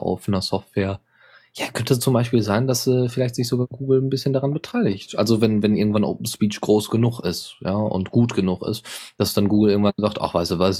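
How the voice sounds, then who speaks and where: Male podcast host, very low voice, casual news chat, hesitant and rambling. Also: a little mellow, breathy edge. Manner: rambling, many fillers and self-corrections.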